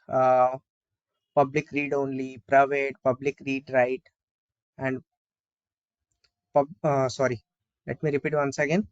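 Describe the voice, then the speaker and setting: A man giving an informal step-by-step software tutorial, often asking and answering his own questions as he explains. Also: a little high, clean tone, dry recording, highs cut off. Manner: repetitive explaining with rhetorical questions.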